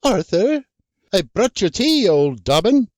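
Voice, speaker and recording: A narrator playing a fussing, bossy farm wife. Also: female voice, mid-range voice, big pitch swings, takes it slowly, no echo, studio quality, pitched above the performer's own voice. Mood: brisk. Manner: scolding, fussing, sharp-tongued.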